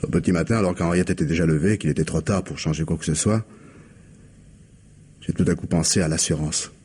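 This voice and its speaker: Man acting guilt-ridden husband, very low pitch, hesitant confession, weary, radio drama, slightly noisy old recording. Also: a little mellow, natural voice. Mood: shaken, confessing. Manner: halting, hesitant confession with repeated words.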